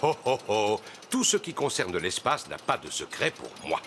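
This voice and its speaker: A man's voice: on the low side, big pitch swings, normal speed, a bit bright, slight background noise. A man voicing a jovial, self-satisfied father pig in a French cartoon, with a hearty way of talking. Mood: boastful, jovial.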